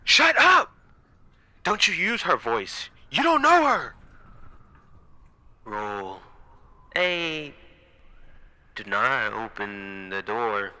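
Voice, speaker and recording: Male actor as exhausted young watchman, horror audio drama. Deliberate pace, hard forceful edge, haunted and dramatic. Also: mid-range voice, big pitch swings, dry recording, exaggerated voice. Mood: panicked, defiant. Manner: halting, dramatic, breaking into whispers. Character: exhausted, frightened young night watchman recording desperate log entries. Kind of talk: first-person horror audio log narration.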